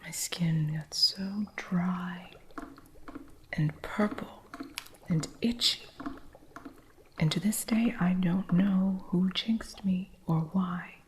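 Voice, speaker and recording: A woman voicing an eccentric witch shopkeeper who mentors her apprentice, speaking in soft, unvoiced whispers for an ASMR roleplay. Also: on the low side, steady tone, slow pace, long pauses, a little hiss or hum. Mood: gentle whispering.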